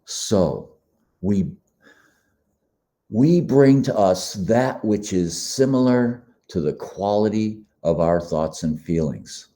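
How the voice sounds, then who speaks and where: A man speaks slowly and gently in a very low voice, a little froggy and hoarse. His tone is dark and mellow, unhurried, like a meditative spiritual talk recorded in a quiet room. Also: lively intonation. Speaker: podcast host. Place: quiet beach condo.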